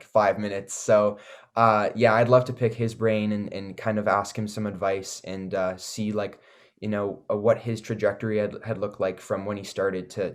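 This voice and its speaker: A man with a Canadian accent giving casual, enthusiastic interview answers, often pausing with "like" and "you know". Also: lowish voice. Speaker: recording artist, interview guest.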